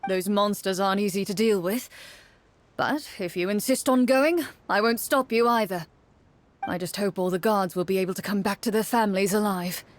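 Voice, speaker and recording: A woman's voice: medium pitch, normal speed, close to an everyday voice. A woman reading as a grumbling wounded guard. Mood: resigned, worried. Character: gruff wounded male guard, weary and worried. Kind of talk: read-aloud game dialogue. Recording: clear background noise.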